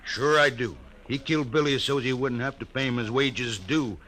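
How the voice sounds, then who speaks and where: A man playing a rough, nervous frontier hide skinner in an old radio western, with a folksy drawl. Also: medium pitch, moderate pace, pushed and bright, natural voice. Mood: wary. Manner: folksy drawl, eager and wary. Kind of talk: 1950s radio western acting.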